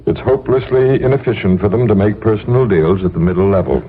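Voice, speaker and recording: A man plays a coolly courteous employment agent who will not bend, in a 1970s radio play. His voice is on the low side, formal, measured and impersonal. The sound is narrow and tinny. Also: a little hiss or hum, lightly acted. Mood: coolly regretful. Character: coolly polite, unyielding male employment agent. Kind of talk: 1970s American radio drama acting.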